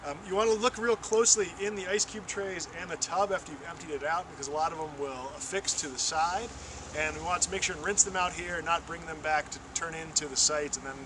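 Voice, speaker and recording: A young American man giving an explanatory training talk in a halting way, as an instructor in the field. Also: fairly high pitch. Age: young adult. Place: outdoors on a wooded riverbank. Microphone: camera mic a few steps away. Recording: distant camera mic, noisy outdoor ambience.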